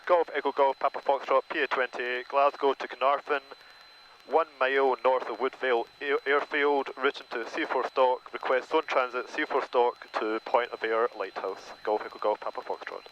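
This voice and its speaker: A man speaks as a private pilot in short, formulaic radio calls. His voice is medium in pitch. It comes through a muffled cockpit headset, with a light drone of engine noise behind it. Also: fairly even intonation, normal speed, a bit bright. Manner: formulaic, reading back instructions. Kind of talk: clipped aviation radio calls. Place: small propeller aircraft cockpit. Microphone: aviation headset. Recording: dull, noisy cockpit intercom.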